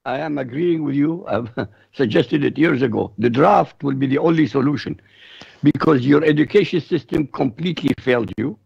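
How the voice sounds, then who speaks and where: A man's voice: mid-range voice, moderate pace, vocal fry, breathy edge, dry recording, lo-fi sound. A man from Iraq whose first language is Arabic, speaking bluntly and emphatically as a radio guest on foreign affairs. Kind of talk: opinionated radio talk.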